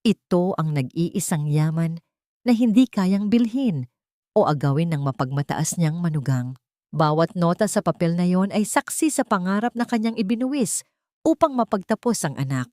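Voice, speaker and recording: Low-voiced woman narrating a dramatic story. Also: dark tone, dry recording, studio quality.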